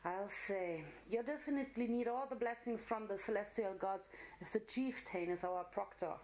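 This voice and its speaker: A woman's voice: medium pitch, moderate pace, darkish tone, close to an everyday voice, poor audio. A woman voicing a cautious, worried young unicorn filly in a fantasy story reading.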